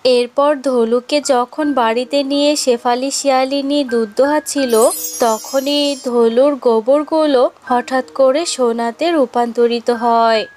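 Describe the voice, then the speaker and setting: A woman's voice: high-pitched, fairly even intonation, measured pace, darkish tone, a little hiss or hum. A woman narrator reading a scripted children's fairy tale.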